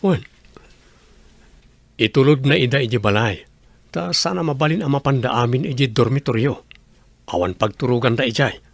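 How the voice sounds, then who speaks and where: A man with an Ilocano accent and a slightly husky voice, acting an earnest, humble young village suitor in a radio drama. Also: mid-range voice, normal speed, long pauses, no echo. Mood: casual.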